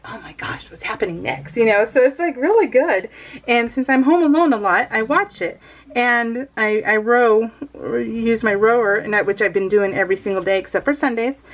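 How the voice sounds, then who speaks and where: Chatty, giggly middle-aged American woman. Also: a little high. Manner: giggly, self-deprecating, chatty. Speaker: craft video host. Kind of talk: casual rambling chat.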